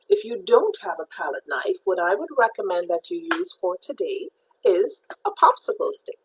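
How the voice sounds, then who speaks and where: A middle-aged woman from Trinidad leads a live painting class, walking viewers patiently through each step. Her voice is breathy and husky at a middling pitch, and she brightens to greet them by name. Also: rough recording. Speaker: art teacher. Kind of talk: live-streamed painting lesson.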